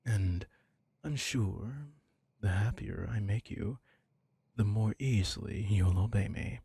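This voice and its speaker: A man playing a doting, possessive young mafia heir in an intimate ASMR roleplay, husky and breathy, coaxing tenderly and teasingly. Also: fairly low pitch, slow pace, warm tone, dry recording, exaggerated voice. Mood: confessional, obsessive.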